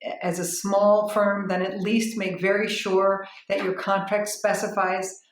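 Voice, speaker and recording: A woman giving an unscripted spoken review as a business owner, frank and matter-of-fact, with halting phrasing and repeated words. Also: medium pitch, flat delivery. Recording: roomy, echoing sound.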